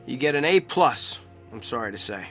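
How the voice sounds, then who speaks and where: An American actor playing a troubled, brusque office executive, terse and probing, with sardonic irony in a naturalistic drama. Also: male voice, medium pitch, animated, normal speed, a bit bright, soft background music. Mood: bitter, resigned.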